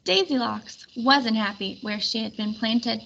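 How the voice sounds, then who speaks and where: Bright, high-voiced woman reading a storybook. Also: unhurried, creaky, dull audio, slight background noise. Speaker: storybook reader. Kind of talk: expressive children's read-aloud narration.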